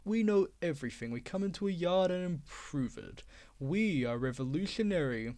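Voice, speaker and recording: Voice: medium pitch, lively intonation, normal speed, dark tone, lightly acted. Diesel, a reader doing a sly oily engine. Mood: boastful. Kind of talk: storybook reading.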